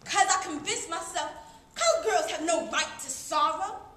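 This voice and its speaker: Female stage performer, very high voice, emotive poetic monologue, echoey room. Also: moderate pace. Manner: emotive, swinging between hushed and loud delivery. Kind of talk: dramatic theatrical poetry monologue. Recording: echoey room with faint background noise.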